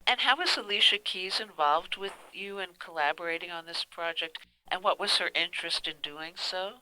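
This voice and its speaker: Bright, clear woman radio interviewer. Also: on the low side, normal speed, poor audio. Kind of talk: prepared interview questions.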